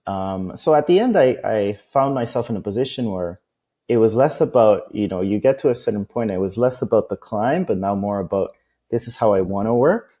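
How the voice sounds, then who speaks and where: Mellow low-voiced man from Costa Rica. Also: animated, fairly quick, slightly rough. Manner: talkative, many fillers and restarts. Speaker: interview guest, entrepreneur. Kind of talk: casual business interview.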